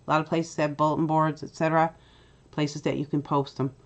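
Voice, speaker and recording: Newfoundland woman walking through software steps. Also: deep voice, little pitch movement, fairly quick. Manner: chatty, step-by-step with filler "uh"s. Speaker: tutorial host. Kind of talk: screen-recorded software tutorial.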